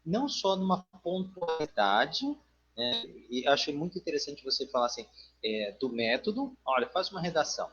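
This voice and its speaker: A man's voice: on the high side, sing-song, moderate pace, a bit bright, slight background noise. A Brazilian man, a teacher, talking casually and digressively in a live online discussion, often adding tag questions. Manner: digressive, frequent "né" tags, joking asides. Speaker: teacher co-hosting a live stream. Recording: dull online call audio.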